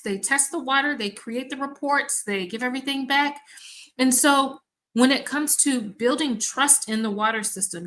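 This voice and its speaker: A woman from Mississippi, an academic researcher, telling stories in an animated, conversational way during a panel discussion. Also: medium pitch. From Mississippi, USA. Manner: animated storyteller, acts out exchanges.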